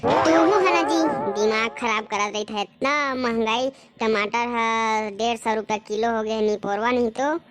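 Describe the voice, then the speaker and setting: A woman playing an exasperated, sharp-tongued village wife in a comic cartoon, grumbling colloquially with a Chhattisgarhi accent. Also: on the high side, a little slow, over music, natural voice. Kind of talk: comic cartoon dialogue in dialect.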